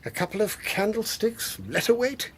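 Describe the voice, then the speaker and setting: An actor playing an irascible older English country squire in a radio drama, gruff and indignant, with a raspy, gravelly voice. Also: male voice, sits high, moderate pace, poor audio. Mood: blustering. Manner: gruff, indignant, old-fashioned bluster.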